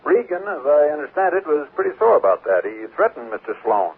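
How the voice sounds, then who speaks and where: An actor playing a matter-of-fact sheriff asking questions in a 1940s radio drama, on a muffled old recording. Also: male voice, on the high side, sing-song, a little fast, breathy edge, exaggerated voice. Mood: businesslike. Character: businesslike county sheriff questioning a witness.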